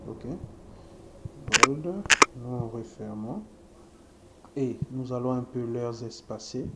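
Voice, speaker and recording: A French-speaking man teaching web coding, thinking aloud as he types, often checking in with a quick "ok" to his viewers. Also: medium pitch, animated. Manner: slow, thinking aloud while typing, frequent "ok", addresses viewers. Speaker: web development instructor. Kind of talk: screen-recorded coding tutorial. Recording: loud background noise.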